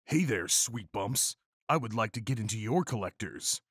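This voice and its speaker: Guy doing macho cartoon cat hero, super pompous, pitch all over the place, dry sound. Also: expressive, moderate pace, a bit bright, slightly rough, no echo. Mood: flirty. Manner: pompous, declamatory. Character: macho, bombastic, lasagna-obsessed male cat hero, righteous and flirty.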